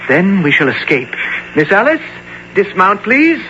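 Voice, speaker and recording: An actor playing a noble, resolute young guide who speaks in formal, stilted phrases in an old-time radio drama. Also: male voice, high voice, huge pitch swings, unhurried, full character voice. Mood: commanding. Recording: muffled vintage radio, clear noise.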